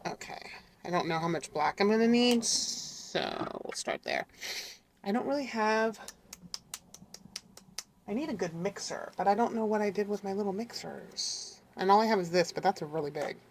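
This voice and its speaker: A middle-aged woman with a raspy, congested voice from a cold, chatting casually to viewers as she works through a craft tutorial and keeps apologizing. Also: mid-range voice, moderate pace, pauses often, slight background noise. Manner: chatty, apologetic, talks to viewers while working. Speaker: craft channel host.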